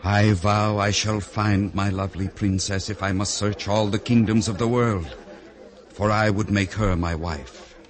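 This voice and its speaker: Actor as low-voiced, courtly storybook prince. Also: male voice, fairly even intonation, a little slow, close to an everyday voice. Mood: resolute. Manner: flowery, formal, romantic. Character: ardent, courtly young fairy-tale prince. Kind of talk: old-time radio fairy-tale acting. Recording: vintage radio drama with music.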